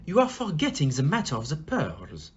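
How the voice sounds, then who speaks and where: Audio-play detective, male actor: polite, probing, exact, very animated intonation, muffled recording. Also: fairly high pitch, soft background music. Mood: shrewd, musing. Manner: formal, polite, deliberately questioning. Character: shrewd, courteous male detective, probing and precise.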